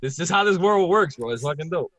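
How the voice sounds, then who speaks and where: A man with a Puerto Rican accent, a podcast guest chatting excitedly in slangy, profane Spanglish. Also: fairly high pitch, brisk, no echo, low-quality recording. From Puerto Rico. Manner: excited, slangy, profane, switches between Spanish and English. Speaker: podcast guest, designer toy artist. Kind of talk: casual chat.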